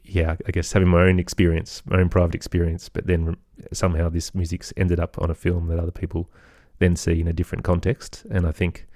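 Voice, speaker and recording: An Australian man speaking as an interview guest, thoughtful and halting as he searches for his words in reflective conversation. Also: deep voice, completely flat delivery, darkish tone, no room sound, clean studio sound. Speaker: guest, composer and children's podcaster.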